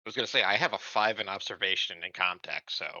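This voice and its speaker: A man's voice: low voice, fast talker, sharp tone, no echo. A man playing a tabletop roleplaying game, bantering casually with friends on slightly dull audio.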